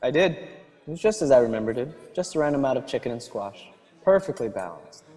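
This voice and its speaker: An actor playing a composed, idealistic young gentleman in a radio drama, polite and formal. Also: mid-range voice, very animated, a little fast, close to an everyday voice. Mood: courteous, earnest. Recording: long hall reverb, music underneath.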